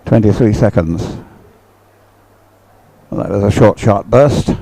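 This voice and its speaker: A man giving live race commentary, explaining things in halting phrases. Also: on the low side, mellow, dry recording, low-quality recording.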